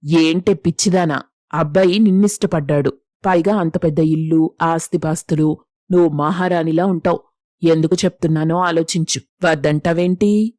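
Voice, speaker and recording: A woman's voice: sits low, steady tone, normal speed, darkish tone, dry recording, clean studio sound, close to an everyday voice. A woman playing a proud, coaxing middle-aged village mother in a moral story, speaking emphatically. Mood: scolding, coaxing. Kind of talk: Telugu moral story dialogue.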